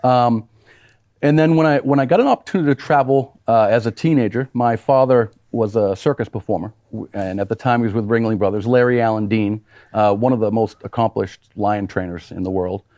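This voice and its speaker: A man's voice: lowish voice, a little fast, mellow, no room sound. Nh guy running for office, earnest, rambles a bit with ums, dry close sound. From New Hampshire, US. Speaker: congressional candidate guest. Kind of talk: interview storytelling. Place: small TV studio.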